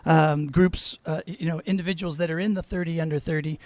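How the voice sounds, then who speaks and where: A middle-aged male host speaks into a studio mic, rambling with enthusiasm and stumbling over repeats. His voice sits high with a gravelly edge. The sound is dry and close. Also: fairly even intonation, quick pace, darkish tone, dry recording. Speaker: podcast host. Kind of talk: casual video-call chat. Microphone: studio mic on a stand.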